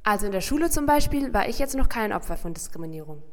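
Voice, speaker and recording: A young woman, a student, talks briskly and in a relaxed way, with a middle-pitched voice. Long hall reverb trails behind her words. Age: young adult. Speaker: interviewee, university student.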